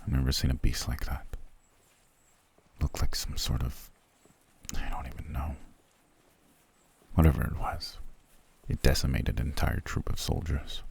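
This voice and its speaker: A man playing a cold, haughty soldier prince in a fantasy audio roleplay, curt and disdainful, with a gruff, breathy voice. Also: darkish tone, exaggerated voice. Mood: brooding. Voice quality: very deep, gravelly, breathy. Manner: curt, disdainful, dry, low-key grumbling. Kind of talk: ASMR roleplay acting.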